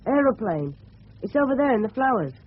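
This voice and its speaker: A man's voice: extremely high pitch, very animated, a little fast, slightly rough, exaggerated voice. A man playing a polite, persistent English teenage boy with a breaking voice in a radio drama. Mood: keen, persistent. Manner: polite, boyish, keen. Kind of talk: 1970s radio drama dialogue. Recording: muffled, faintly hissy old radio broadcast.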